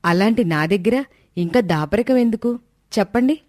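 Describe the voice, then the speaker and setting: A woman's voice: mid-range voice, animated, normal speed, a little mellow, dry recording, close to an everyday voice. A woman playing a devoted, affectionate yet firm young wife in a story, speaking expressively. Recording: dry studio voice track.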